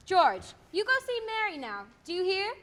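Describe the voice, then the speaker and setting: A woman's voice: extremely high pitch, sing-song, moderate pace, background noise. A woman playing a fond, matchmaking mother who nags her son in a radio play, in an echoey hall. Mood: insistent. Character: fond, nagging, matchmaking middle-aged mother.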